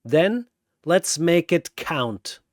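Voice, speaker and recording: A tough superpowered woman, acted by a male narrator in a battle story. She is stubborn and resolute, with animated pitch and a dry sound. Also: very slow, no room sound, full character voice.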